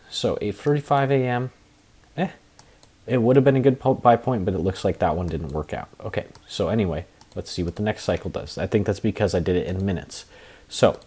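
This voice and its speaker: A young man who is sick and a bit hoarse, rambling through a screen-share lesson with many fillers and restarts. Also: low voice, mellow. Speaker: trading tutorial presenter. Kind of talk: casual screen-share tutorial.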